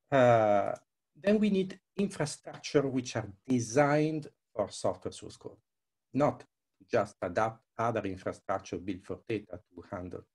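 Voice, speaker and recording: Husky man giving an academic talk. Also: medium pitch, a little mellow. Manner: conversational, explanatory, with rhetorical questions. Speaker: presenter, researcher. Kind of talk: academic slide presentation. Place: online video call presentation.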